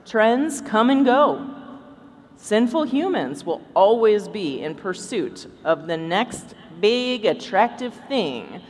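A woman's voice: mid-range voice, big pitch swings, slow. A woman podcast host speaking on stage in a live show at a convention. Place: large indoor convention hall. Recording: echoey convention hall, background noise.

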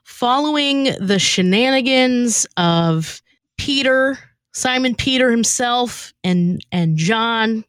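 A woman's voice: fairly high pitch, lively intonation, takes it slowly, fairly bright tone, smooth, no echo, clean studio sound. A woman podcast host chatting casually as she introduces material she has written. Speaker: podcast host and skit writer. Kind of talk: casual chat.

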